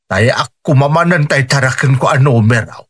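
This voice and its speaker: An actor with an Ilocano accent playing an expressive rural father in a family radio drama. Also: medium pitch, normal speed, breathy edge, close to an everyday voice. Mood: chatty, lively.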